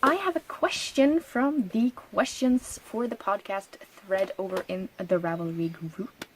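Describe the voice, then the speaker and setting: A woman in her thirties from Norway, hosting a crafting podcast in a chatty, casual way, laughing often and pausing with ums. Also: medium pitch, slight background noise, rough recording. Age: 30s. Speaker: knitting podcast host. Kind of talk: casual solo podcast chat.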